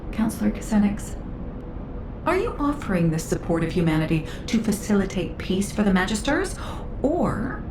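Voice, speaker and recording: An actress playing a shrewd female researcher in a game, speaking in a measured, probing way. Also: medium pitch, echoey room, noisy background, lightly acted. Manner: measured and probing, with lively inflection. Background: game music.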